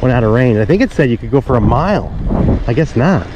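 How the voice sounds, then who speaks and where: A man's voice: mid-range voice, animated, fast. A middle-aged man chatting casually and ramblingly to viewers while out riding, with jokey asides. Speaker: vlogger. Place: outdoors on a suburban street. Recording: dull action-camera audio, outdoor street noise.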